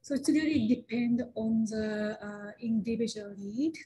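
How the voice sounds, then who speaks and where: Case manager, woman, japanese native, halting, fillers, mellow, a bit high, video call. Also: slight background noise. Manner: halting, with many fillers and restarts. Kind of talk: panel discussion on a video call.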